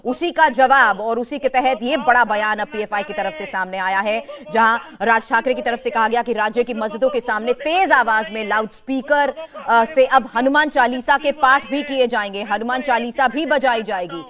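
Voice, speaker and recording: A woman news anchor with an Indian accent speaks in a fairly high voice. She presents urgently, running clauses together into long, emphatic sentences. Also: normal speed, few pauses, a crowd in the background.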